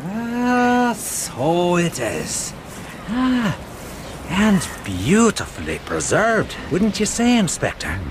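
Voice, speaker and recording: Radio drama: Irish police inspector, talkative, joking, swooping intonation, clear ambient noise. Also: male voice, sits high, huge pitch swings, drawn-out words, exaggerated voice. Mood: wry, jovial. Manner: jovial, wry, lilting and talkative. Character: genial, chatty Irish police inspector, fond of a joke. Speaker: actor.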